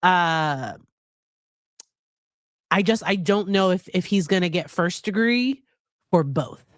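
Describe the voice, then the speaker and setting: Woman, middle-aged, nasal from a cold, lower pitch, casual rambling commentary, dry recording. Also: animated, slow pace, dry recording. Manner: chatty, hesitant, many restarts and laughs. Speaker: psychologist and livestream host.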